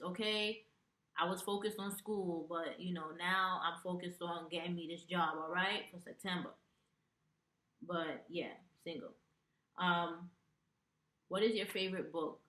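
Young woman vlogger, bright and chatty, lower-pitched voice, answering viewer questions in a casual, filler-heavy way; recorded from a few feet away in a small, roomy-sounding space with a faint hum. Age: young adult. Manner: chatty, reads questions off her phone, many ums. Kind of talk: casual tag video chat. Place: small room with a plain wall, indoors. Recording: echoey room, distant mic, faint hum.

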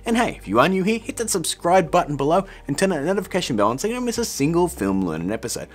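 A man's voice: fairly high pitch, sing-song, fast talker. A man in his thirties hosting a step-by-step video tutorial in an upbeat, chatty, informal way, talking straight to viewers. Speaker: host, video tutorial presenter.

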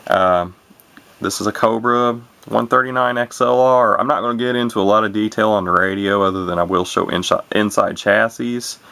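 A man rambling casually to camera in a flat, monotone way, with many fillers and restarts, and words run together. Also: moderate pace. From Illinois, USA. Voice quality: low gravelly voice, talks monotone. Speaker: YouTuber showing vintage radios. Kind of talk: casual unscripted talk to camera.

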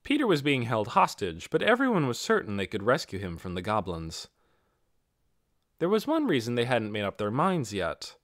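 A man's voice: medium pitch, huge pitch swings, moderate pace, fairly bright tone, dry recording, clean studio sound. A man reading a fantasy novel as a scripted audiobook narration.

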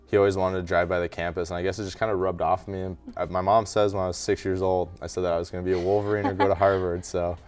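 A young man from Canada answers questions in a small brick-walled TV studio through a lapel mic. His voice sits low and comes across clean and smooth, and he speaks quickly and modestly, leaning on words like "kinda.". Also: soft background music. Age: young adult. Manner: relaxed, modest, many fillers like "kinda" and "um". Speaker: college hockey goalie guest.

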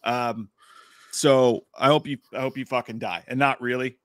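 A man's voice: medium pitch, steady tone, normal speed, fairly bright tone, no echo, studio quality. A man in his thirties hosting a livestream, joking crudely and laughing often while reading viewer messages. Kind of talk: casual livestream chat. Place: home room with shelves. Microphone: desk mic.

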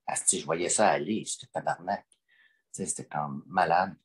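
A man's voice: sits low, moderate pace, breathy edge. A man, calling in and talking in hesitant, rambling stretches full of fillers. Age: late 40s. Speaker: caller. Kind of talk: call-in conversation.

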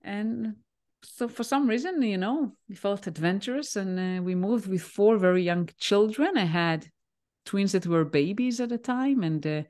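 A woman in her forties from Israel, a native Hebrew speaker, answering interview questions on a video call with a headset, pausing often with fillers. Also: medium pitch, expressive, a little mellow. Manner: thoughtful, pauses with many "uh" fillers. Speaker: guest, leadership coach. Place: home room, indoors.

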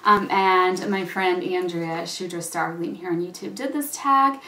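Young woman vlogger, Russian-born, casual and giggly, slightly low voice, echoey room. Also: measured pace, sharp tone, a little hiss or hum. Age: young adult. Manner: chatty, laughs often between words. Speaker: YouTuber. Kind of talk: casual chat to camera. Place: small indoor room with patterned wall.

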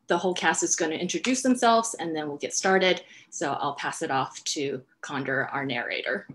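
A woman's voice: lowish voice, fairly bright tone. A middle-aged woman, a writer-director, introducing an event over a video call. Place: room at home. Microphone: headset on video call.

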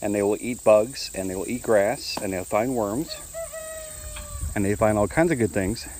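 A man filming his farmyard outdoors on a handheld phone, explaining at an easy, relaxed pace. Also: fairly low pitch, moderate pace, background noise. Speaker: homesteader showing his farm. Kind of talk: casual walk-through narration.

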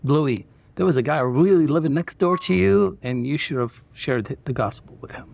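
A man in his sixties from Iraq, a guest telling his faith story in warm, plain-spoken conversation. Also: fairly high pitch, lively intonation, normal speed, gravelly, a little hiss or hum. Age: 60s. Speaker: interview guest. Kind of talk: personal testimony in conversation. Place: dark church auditorium, indoors.

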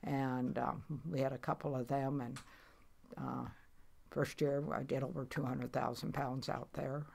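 A woman's voice: a bass voice, level tone, unhurried, vocal fry, a little mellow, husky. A woman in her eighties, a veteran commercial fisher, telling her story in a rambling, matter-of-fact way with many restarts. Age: 80s. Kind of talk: casual storytelling interview.

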